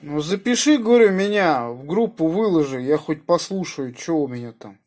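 Higher-voiced man chatting on ham radio. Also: animated, moderate pace, darkish tone, slight background noise. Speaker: amateur radio operator. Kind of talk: casual ham radio chat.

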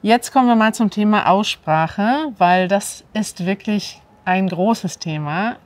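A woman in her thirties from northern Germany, speaking standard German as host of a language lesson, clear and animated as she prompts her guest to repeat words, recorded outdoors. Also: medium pitch. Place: outdoors in a courtyard by an ivy-covered wall.

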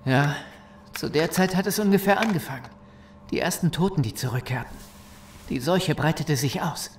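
A man's voice: a little high, expressive, normal speed, slight background noise. A man in a German audio drama playing an eager, easily amazed survivor. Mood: intent.

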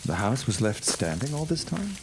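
An actor playing an earnest, trusting 1910s flower grower in a radio drama, with formal, old-fashioned phrasing. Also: male voice, mid-range voice, big pitch swings, normal speed, background noise. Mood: inquisitive. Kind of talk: period radio drama acting.

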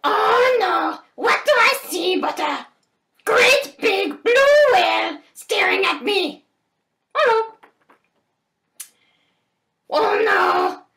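A woman voicing an excitable, childlike robot dinosaur puppet, exclamatory and flustered, in a children's storytime. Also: very high voice, extremely slow, creaky, long pauses, slight background noise, pitched above the performer's own voice, full character voice. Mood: excited, dramatic. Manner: exclamatory, dramatic. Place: room at home. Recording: roomy, distant home webcam sound.

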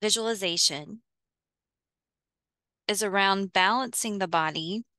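A woman leading a guided visualization, giving measured instructions in short phrases with pauses between them. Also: mid-range voice, sharp tone, no echo, clean studio sound. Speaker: guided meditation host.

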